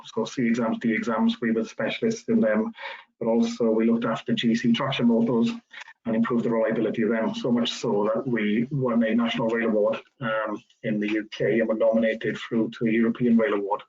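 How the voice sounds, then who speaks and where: Flat-toned South Welsh man on a video call. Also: mid-range voice, a little fast, lo-fi sound. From South Wales. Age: 30s. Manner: relaxed, conversational, frequent ums. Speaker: railway professional giving a careers talk. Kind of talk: casual career talk.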